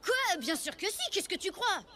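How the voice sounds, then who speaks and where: A woman's voice: very high voice, big pitch swings, normal speed, very noisy. A woman voicing an earnest, timid little boy in a French cartoon dub. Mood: defensive. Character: timid, well-meaning little boy, loyal to his dinosaur.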